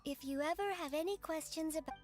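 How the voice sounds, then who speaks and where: A woman's voice: sits high, fast talker, close to an everyday voice. A woman voicing a small helper character in a video game. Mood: gentle greeting.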